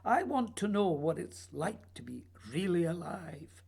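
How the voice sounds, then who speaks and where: An actor plays a restless man of letters in a radio play, earnest and needy. His husky voice swings widely in pitch as he pleads in theatrical, literary phrases. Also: sing-song, a little slow, a little mellow, exaggerated voice. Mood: restless, brooding. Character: earnest, restless male writer, needy and intense.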